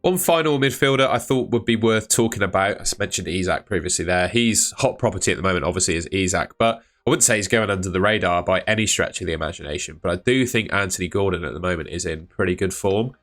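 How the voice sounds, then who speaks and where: A man's voice: low-pitched, quick pace, hardly any pauses, a bit bright. Chatty man with a cold. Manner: chatty, thinking aloud with fillers. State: has a cold. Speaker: fantasy football channel host. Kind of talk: casual solo video commentary.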